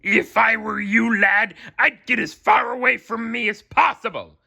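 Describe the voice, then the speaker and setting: A man's voice: extremely high pitch, steady tone, a little slow, vocal fry, sharp tone, slightly rough, pitched above the performer's own voice, heavily acted. A man voicing a greedy, bossy male cartoon crab who barks his orders, in a storybook read-aloud. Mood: stern. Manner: barking, commanding.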